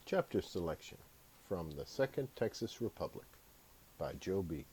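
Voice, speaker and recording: A man narrating his own fiction as an audiobook, in a measured storytelling delivery. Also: low-pitched, sing-song, a little mellow, breathy edge. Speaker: author reading own book aloud.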